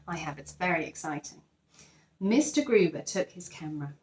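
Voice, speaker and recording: A woman teacher sharing a children's picture book, expressive and enthusiastic, with chatty asides. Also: sits low, moderate pace. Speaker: teacher reading to pupils. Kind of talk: children's storybook read-aloud with chatty asides.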